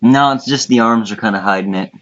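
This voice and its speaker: A man's voice: on the low side, a little fast, a bit bright. A man chatting casually and crudely as he hosts a contest, with some swearing. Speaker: contest host. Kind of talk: casual chat, commentary. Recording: dull lo-fi voice chat audio.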